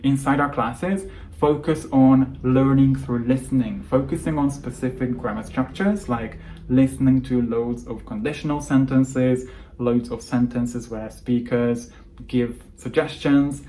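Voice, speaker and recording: A young man, a native Hungarian speaker, coaching English learners through calm, earnest advice in a scripted monologue. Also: medium pitch, a little hiss or hum, poor audio.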